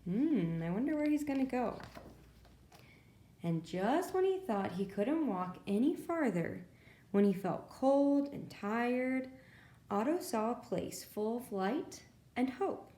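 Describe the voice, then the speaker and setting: A woman's voice: a little high, sing-song, slow pace, dark tone, reverberant. A woman reading picture books aloud to preschool children as a storyteller, expressively and with little asides to her young listeners. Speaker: children's librarian reading stories. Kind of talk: read-aloud picture book narration for preschoolers.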